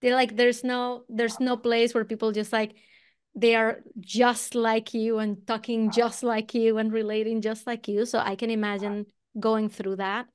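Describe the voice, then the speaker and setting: A woman host speaks English with a Venezuelan Spanish accent, her voice smooth and fairly high. She sounds curious and engaged as she asks her guest probing follow-up questions. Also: level tone, unhurried. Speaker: podcast host. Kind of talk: interview conversation.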